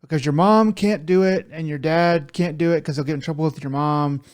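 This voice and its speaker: A man co-hosting a casual talk show, giving conversational commentary in a halting way with stammered, repeated words. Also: on the high side, steady tone. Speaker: podcast co-host.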